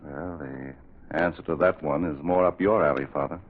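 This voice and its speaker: An actor playing a reformed thief turned sleuth in a radio drama, a frank, wary and respectful companion. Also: male voice, rumbling low, steady tone, normal speed. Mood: wary, thoughtful. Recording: dull, band-limited old radio recording.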